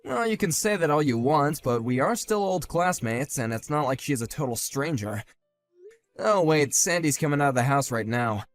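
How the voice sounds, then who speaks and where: A man playing a calm, dry-witted grown man. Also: a little high, normal speed, soft background music, pitched below the performer's own voice. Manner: level, dry and cutting. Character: calm, sardonic grown man confronting an old bully. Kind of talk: one-man voiced drama.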